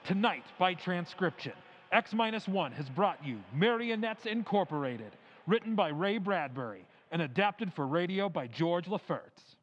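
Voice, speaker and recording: A man announcing the end of an old-time radio show in a crisp, formal manner. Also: sits high, lively intonation, moderate pace, in a large hall, dull audio.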